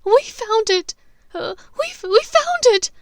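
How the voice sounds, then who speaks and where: A woman's voice: very high voice, sing-song, unhurried, dry recording, clean studio sound, voice pushed up, full character voice. A woman voicing a terrified young female captive in an audiobook story, sobbing, stammering and pleading.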